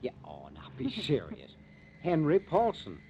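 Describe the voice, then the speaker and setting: An actor playing a wisecracking small-town newspaper editor and husband in a jaunty, teasing 1950s radio drama style. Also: male voice, mid-range voice, wildly swooping pitch, measured pace, warm tone. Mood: teasing, eager. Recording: muffled, noisy old 1950s radio recording.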